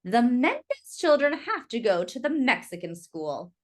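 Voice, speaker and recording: Woman narrator as storybook school secretary, female, stern, firm, official, higher-pitched. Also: sing-song, measured pace. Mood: firm, dismissive.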